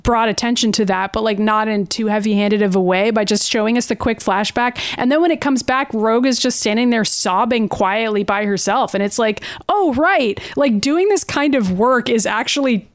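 High-voiced woman chatting casually, close dry sound. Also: brisk, hardly any pauses, no room sound. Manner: informal, exasperated, opinionated. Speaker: podcast host. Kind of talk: casual recap chat, frequent swearing.

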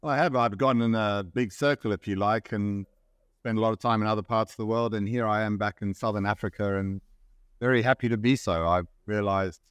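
A middle-aged man from Zimbabwe, a company CEO explaining his business in measured, steady answers as an interview guest. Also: fairly low pitch, smooth, no echo, clean studio sound.

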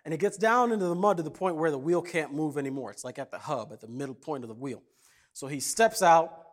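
Pastor, male, from Kenya; relaxed storytelling sermon, playful asides, slightly high voice. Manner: conversational, jokey, animated. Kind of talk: casual sermon with stories.

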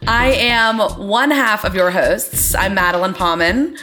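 A woman's voice: mid-range voice, unhurried, pushed and bright, soft background music. A young American woman hosting a podcast in casual, conversational chat with playfully formal turns of phrase. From Florida, US. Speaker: podcast host. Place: in a studio.

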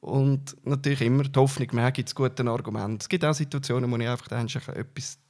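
A middle-aged man with a Swiss German accent, faintly coloured by the Uri dialect, speaking at a medium pitch for a man. He answers a journalist's questions calmly but haltingly. He often pauses on filler sounds before going on. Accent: Swiss German, mildly Uri dialect. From Canton Uri, Switzerland. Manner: hesitant, frequent filler sounds. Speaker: political candidate. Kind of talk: interview answers.

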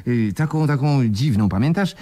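A man's voice: mid-range voice, expressive, moderate pace, warm tone, lightly acted. An actor playing a curious, amused listener prodding his friend in a radio play. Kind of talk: 1980s Polish radio play, conversational.

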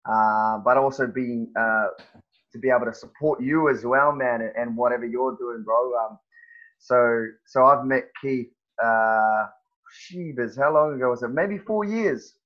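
A man, a guest on a podcast, talking in a halting, casual way with many fillers and restarts. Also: medium pitch, lo-fi sound. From New Zealand. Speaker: guest, personal trainer.

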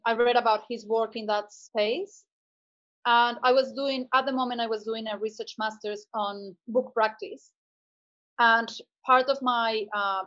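A woman from Mexico, speaking thoughtfully in an interview and often pausing with fillers as she searches for words. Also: a little high, a bit bright, highs cut off. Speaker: artist guest. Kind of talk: conversational interview.

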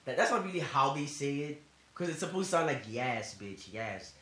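A man's voice: on the high side, lively intonation, normal speed, pushed and bright. A young man co-hosting a podcast in casual banter, loose and laughing, trailing off with lots of fillers. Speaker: podcast co-host. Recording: roomy with faint hiss.

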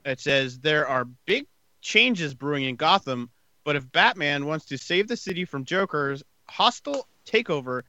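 A man with a bright, forceful voice co-hosts a casual movie review chat. He reads out scores and quotes with halting fillers and stumbles. The sound is dry and close, with no room echo. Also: a little high, muffled recording. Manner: halting, many fillers when reading. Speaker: podcast co-host.

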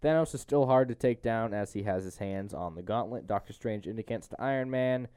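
Young man hosting, smooth dry-sounding voice. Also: on the low side, a little fast, mellow, no echo. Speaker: podcast host. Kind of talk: casual movie recap chat.

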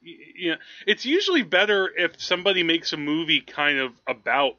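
A man co-hosting a podcast in casual conversation, animated and often feeling his way through a thought. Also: fairly high pitch, fairly quick, dry recording, muffled recording. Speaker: podcast co-host. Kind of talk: casual movie chat.

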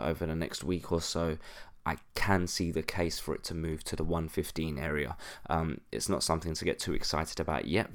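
A man with a very low voice, stuffy and congested from a cold. He talks through market news alone as a podcast host, steady and explanatory, with frequent "um" fillers. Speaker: podcast host, currency analyst. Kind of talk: solo market commentary podcast.

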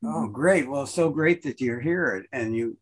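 A man's voice: mid-range voice, unhurried. A man leading a meditation group discussion, asking friendly questions and often restarting his phrases.

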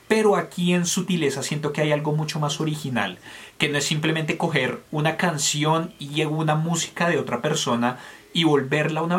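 A man's voice: on the high side, a bit bright. A man from Colombia co-hosting a casual music review, candid and opinionated, thinking aloud with fillers.

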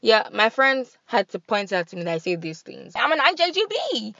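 A young woman with a Nigerian accent hosting a podcast in casual, animated chat. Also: a little high, normal speed, vocal fry, dry recording, muffled recording. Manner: chatty, animated, laughs and switches into pidgin. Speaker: podcast host.

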